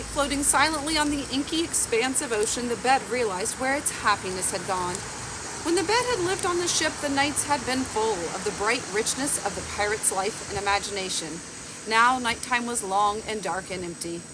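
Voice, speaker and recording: A woman around forty reading a picture book aloud in a measured, expressive storytelling way. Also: a little high. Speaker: library storytime reader. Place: outdoors on a garden bench. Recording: outdoor background noise with insects.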